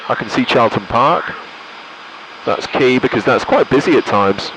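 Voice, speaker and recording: A man's voice: mid-range voice, animated, moderate pace, background noise. A British man giving a casual commentary from a light aircraft cockpit, thinking aloud and making radio calls into a headset. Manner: thinks aloud while concentrating. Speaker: pilot and vlogger.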